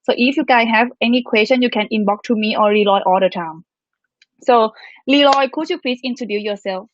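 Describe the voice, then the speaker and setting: A woman's voice: a little high, no echo, lo-fi sound. A woman with a Thai accent hosting a live stream interview, bubbly and laughing often as she translates for viewers. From Thailand. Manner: bubbly, laughs often, rapid code-switching. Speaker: host.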